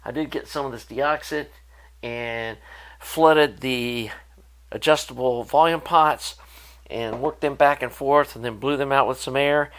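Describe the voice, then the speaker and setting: A man with a slightly raised pitch talks through a hands-on repair as a hobbyist presenter. His delivery is unscripted and conversational, explaining each step informally. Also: a bit bright.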